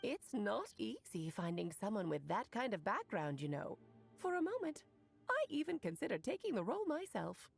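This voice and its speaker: A woman's voice: medium pitch, sing-song, normal speed, creaky, husky, faint music behind, exaggerated voice. A woman voicing a self-assured, playful witch in a video game, with grand, teasing, formal diction. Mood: playful, proud.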